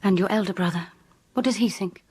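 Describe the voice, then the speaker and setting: Actress as a very breathy, composed confidante. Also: female voice, medium pitch, brisk, dry recording, lightly acted. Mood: concerned, curious. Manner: direct, gently questioning. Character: composed, probing, sympathetic young woman confidante. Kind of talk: radio drama acting.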